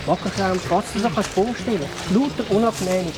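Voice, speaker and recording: A man's voice: sits high, brisk, very noisy, lightly acted. A man playing a cautious, urgent guide who warns and instructs companions in a Swiss German children's audio drama. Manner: warning, instructing.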